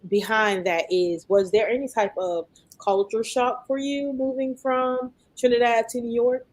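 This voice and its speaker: A woman hosting a podcast, asking questions in a measured way with frequent fillers. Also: on the high side, steady tone, darkish tone. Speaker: podcast host. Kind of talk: podcast interview.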